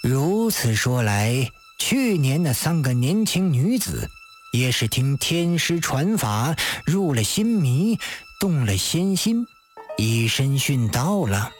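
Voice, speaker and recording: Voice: mid-range voice, unhurried, faint music behind, pitched below the performer's own voice, lightly acted. A storyteller voicing a stern male magistrate in an audiobook, grave, cold and pointed as he interrogates. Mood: sarcastic.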